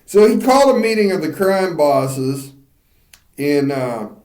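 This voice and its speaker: Slow-talking joking man, echoey room. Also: on the high side, very animated, darkish tone. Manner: joking, stumbles over names, laughs often. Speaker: podcast co-host. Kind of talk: casual banter.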